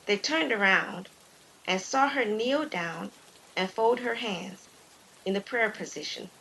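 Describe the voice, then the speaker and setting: Woman narrating a story, takes her time, mid pitch, some noise behind her. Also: slow pace, fairly bright tone, poor audio.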